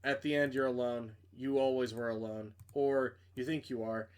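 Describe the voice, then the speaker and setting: A man's voice: medium pitch, fairly even intonation, normal speed, clear, resonant tone, voice pushed down, natural voice. A man voicing a menacing stalker in a horror visual novel, with cold, mocking questions. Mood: cold taunting. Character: menacing, taunting stalker messaging a victim in horror game.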